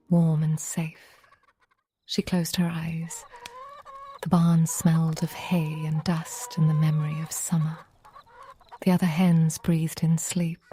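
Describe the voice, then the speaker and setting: Female bedtime narrator: very slow, mellow, soft and breathy, often close to whispering, gentle delivery. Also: lowish voice. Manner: gentle, hushed, unhurried with long pauses. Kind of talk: scripted bedtime story narration, slow and cozy.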